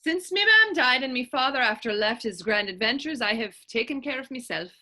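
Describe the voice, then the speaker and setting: A woman acts out a plucky Irish teenage orphan on the stage. Her voice is fairly high and bright, with a forceful edge. She spins her tale proudly in lilting, old-fashioned Irish phrasing. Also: animated, a little fast, heavily acted. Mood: stoic, reflective. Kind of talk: stage readers theatre acting in dialect.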